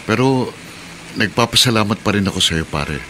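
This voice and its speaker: A man's voice: on the low side, expressive, normal speed, bright, forceful tone, a little hiss or hum. A man playing a weary but stubborn, forgiving middle-aged pedicab driver in a radio drama, with a Filipino accent. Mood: steadfast.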